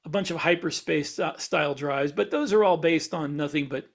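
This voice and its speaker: Guy with a slightly high voice explaining stuff on a podcast, stop and start, casual. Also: moderate pace, creaky. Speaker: podcast co-host and science fiction author.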